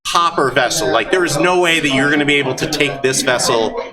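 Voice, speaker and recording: A man acting as game master in a live tabletop game, explaining in a halting way with repeated words. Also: fairly high pitch. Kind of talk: improvised live tabletop game narration and chat. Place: large hall at a gaming convention, live. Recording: distant miking in noisy convention hall crowd.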